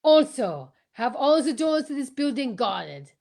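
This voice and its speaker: A woman playing a courteous, self-assured male detective, theatrical and deliberate, in a radio play. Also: sits high, big pitch swings, creaky, a little mellow, exaggerated voice. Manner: theatrical, deliberate, tag-questioning. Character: courteous, self-assured male French-speaking detective explaining his case. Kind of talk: amateur old-time radio play reading.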